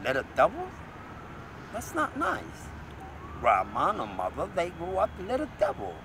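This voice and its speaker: A man's voice: on the high side, huge pitch swings, unhurried. A middle-aged man from Morocco with a Moroccan accent, talking in an agitated, rambling, repetitive way to a street camera. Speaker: street interviewee. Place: city street outdoors. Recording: outdoor street with loud traffic noise.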